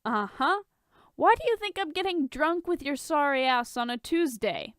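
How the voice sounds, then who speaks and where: A woman's voice: sits high, lively intonation, moderate pace, fairly bright tone, no echo, clean studio sound, voice pushed up. A woman voicing a tipsy, casual, wisecracking male friend in a story reading. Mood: commiserating.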